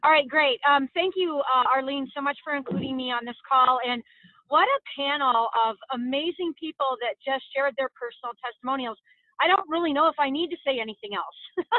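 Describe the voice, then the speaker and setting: A woman's voice: sits high, a little fast, no echo. A woman giving an earnest, encouraging wrap-up on a conference call, with many fillers. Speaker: network marketing leader. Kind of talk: motivational call talk. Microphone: phone on a conference call. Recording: tinny phone line.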